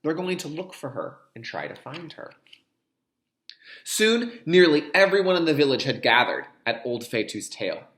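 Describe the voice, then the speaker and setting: Animated male teacher in an echoey room. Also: on the high side, normal speed. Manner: expressive, dramatic delivery, explains words to young listeners. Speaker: kindergarten teacher reading aloud. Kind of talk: read-aloud storytelling with teaching asides.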